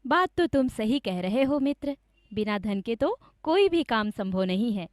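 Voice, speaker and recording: A woman voicing an honest, trusting village man with an earnest, expressive manner in a cartoon story. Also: on the high side, unhurried, no room sound, studio quality. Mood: agreeable.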